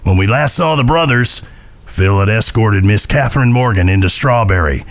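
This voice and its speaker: A man's voice: lowish voice, expressive, moderate pace. A man playing a grave, wry Old West storyteller, narrating an audio drama in a folksy voice. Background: guitar music.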